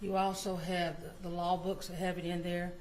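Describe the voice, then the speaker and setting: A woman's voice: fairly low pitch, level tone, normal speed, darkish tone, rough recording. A woman answers questions on the witness stand, polite and matter-of-fact. Her courtroom microphone also catches a faint hum of room noise. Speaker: court clerk testifying as a witness. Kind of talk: witness testimony.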